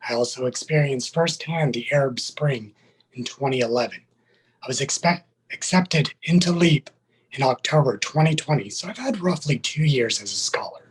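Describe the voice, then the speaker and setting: A man's voice: medium pitch, animated, moderate pace, husky, poor audio. A man speaking as a military sergeant and interview guest, giving prepared answers in a formal, careful, earnest manner.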